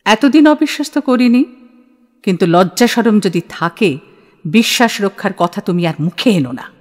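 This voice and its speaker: A woman's voice: mid-range voice, animated, normal speed, natural voice. A woman playing a stern, shrewd middle-aged mother in an audio play, cutting and authoritative. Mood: cold, accusing. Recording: long hall-like reverb.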